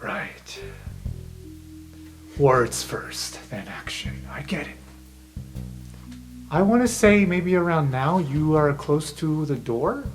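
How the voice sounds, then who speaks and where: A man's voice: a little high, expressive, slow pace, long pauses. A man working as game master, narrating an improvised tabletop game in a casual, conversational way. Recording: background music under voice.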